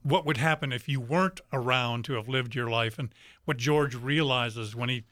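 Bright-voiced, earnest man as radio guest. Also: medium pitch, normal speed, no room sound, clean studio sound. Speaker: interview guest, community theater player. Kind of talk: conversational radio interview. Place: radio studio.

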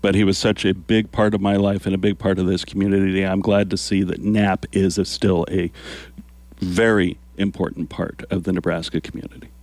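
A fairly low-voiced man hosts a community radio show, rambling easily with plenty of "uh"s. He sits close to a studio mic that sounds dry and close. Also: moderate pace, no echo. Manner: relaxed, rambling, frequent "uh" fillers. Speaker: radio host. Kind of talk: casual community radio chat. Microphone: studio microphone.

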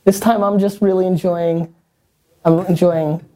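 A man's voice: sits high, fairly even intonation, measured pace, dark tone. A man hosting a viewer-question show, talking bluntly and emphatically with restarts and mild cursing. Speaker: host answering viewer questions. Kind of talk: energetic motivational Q&A.

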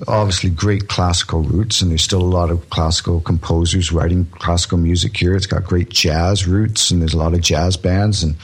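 Low husky guy, laid-back interview chat, chuckles a lot. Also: fairly even intonation, moderate pace, hardly any pauses. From born in Scotland, raised in Canada. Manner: easygoing, laughs at own jokes, many restarts. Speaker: guest musician.

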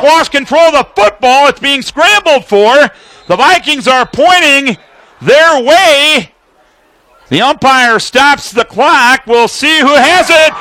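A man with an American accent calling live football play-by-play on the radio in an animated, clipped way from a press booth. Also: extremely high pitch. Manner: animated, clipped phrasing, shouts on big plays.

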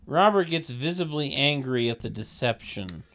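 A man's voice: medium pitch, expressive, normal speed, a bit bright. A man reading stage directions as narrator at a comedy table read, in a wry, mock-formal manner.

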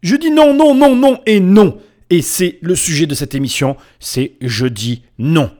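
Animated, digressive podcast host. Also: male voice, a little high, a little slow. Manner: informal, playful, slangy, emphatic, digressive. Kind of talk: casual solo podcast monologue.

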